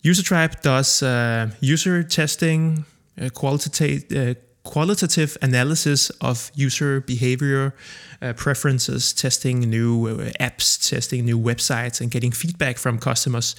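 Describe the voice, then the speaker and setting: A Danish man in his thirties, a native Danish speaker, hosting his own podcast and telling his background in a relaxed way with many pauses and fillers. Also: mid-range voice. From Denmark. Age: 30s. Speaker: podcast host. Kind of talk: casual solo podcast monologue.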